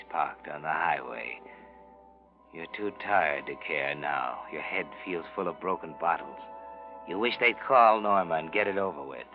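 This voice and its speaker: An actor playing an ominous narrator who taunts in terse second-person storytelling, in 1940s radio suspense style. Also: male voice, low voice, natural voice. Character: ominous male narrator taunting the guilty protagonist. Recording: muffled old radio recording.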